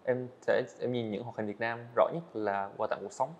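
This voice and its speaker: A man's voice: fairly low pitch, steady tone, normal speed, dull audio, a little hiss or hum. An eighteen-year-old man, a guest on a podcast, telling his own story earnestly and answering politely. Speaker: guest, animator. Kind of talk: podcast interview. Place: indoor studio set.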